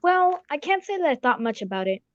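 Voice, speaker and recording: Dull dry recording, woman acting genial gentleman storyteller, high-pitched, old-fashioned, polite, sentimental, radio drama. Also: very animated, fairly quick, dry recording. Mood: curious, sympathetic.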